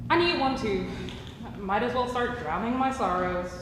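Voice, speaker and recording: Woman acting Scooter, a high-voiced defiant young lover. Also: expressive, moderate pace, lightly acted. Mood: playful, wistful. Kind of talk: staged play reading. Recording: echoey hall, noisy live stage pickup.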